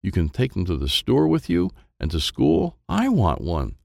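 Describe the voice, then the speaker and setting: A man voicing an excitable, wistful small boy who narrates a children's storybook in simple, wide-eyed phrases. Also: lowish voice, moderate pace, gravelly, dry recording, studio quality, voice pushed up, exaggerated voice. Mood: eager, wistful. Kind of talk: first-person present-tense children's storybook narration.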